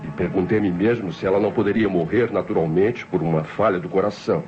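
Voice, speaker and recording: An actor playing a scheming, indebted nephew with Brazilian speech, narrating and acting theatrically in an old radio drama. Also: male voice, low-pitched, quick pace, lightly acted. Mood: calculating. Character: scheming indebted nephew, coldly plotting his aunt's murder. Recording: dull old radio recording with music behind.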